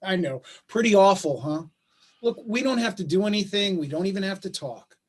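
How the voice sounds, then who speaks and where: A man acting in a play reading as a baffled, conciliatory father, speaking in a halting, earnest, self-deprecating way. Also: high voice, animated. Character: baffled, conciliatory working-class father of a gifted son. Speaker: stage actor in a play reading. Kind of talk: staged play reading, naturalistic dialogue. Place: remote video call.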